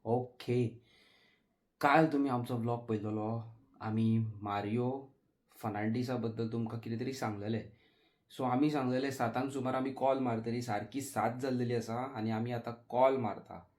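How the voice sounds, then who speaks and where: Man, Goan accent, medium pitch, casual vlogger chat. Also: fairly even intonation, moderate pace, a little mellow. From Goa, India. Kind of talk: casual vlog chat to camera.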